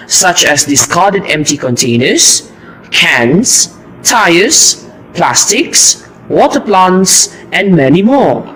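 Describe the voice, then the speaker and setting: Man playing a high-pitched, earnest puppet-show superhero. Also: a bit bright, a little hiss or hum, exaggerated voice, rough recording. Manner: deliberate, declamatory, recited delivery. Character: heroic mosquito destroyer, male, earnest and instructive. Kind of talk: amateur puppet show, scripted explanation.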